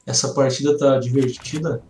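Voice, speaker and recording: A man's voice: medium pitch, steady tone, moderate pace, a crowd in the background. A man in his thirties with a Brazilian accent, co-commentating in casual conversation. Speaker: stream co-commentator. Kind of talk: casual chat. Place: indoor room at home, on video call. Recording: roomy, echoing, noisy home microphone.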